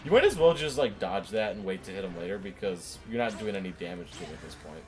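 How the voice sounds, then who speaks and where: A man's voice: low voice, lively intonation, fast. A man chatting casually through a video game, rambling and opinionated as he analyses it. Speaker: gaming show co-host. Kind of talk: casual let's play commentary chat.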